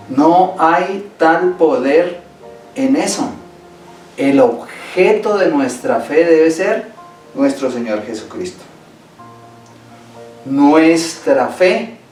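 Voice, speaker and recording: Slow, emphatic preacher in an echoey church. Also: male voice, fairly high pitch, a little mellow. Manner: emphatic, repeats key phrases for stress. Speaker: pastor. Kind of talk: Sunday sermon. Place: church sanctuary. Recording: echoey hall, voice from far off.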